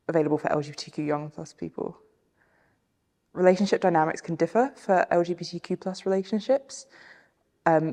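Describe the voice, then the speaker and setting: A woman's voice: deep voice, darkish tone, big-room sound. A young woman giving a prepared informational presentation for a training film. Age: young adult.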